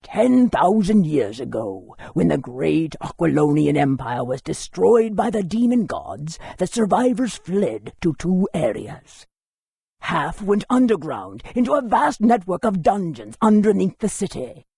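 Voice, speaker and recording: Scholarly game lore-keeper: animated pitch, gravelly creak, helpful storyteller's delivery. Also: male voice, measured pace, dry recording, studio quality, full character voice. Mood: storytelling. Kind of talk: video game voice acting.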